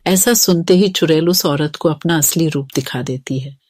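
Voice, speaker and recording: A woman's voice: deep voice, normal speed, darkish tone. A woman narrating a scripted children's story.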